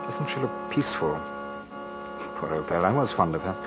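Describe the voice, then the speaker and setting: An actor playing a polite, mildly mournful grandson in a 1940s radio drama. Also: male voice, low voice, expressive, normal speed. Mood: polite mourning. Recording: dull vintage radio recording, music behind.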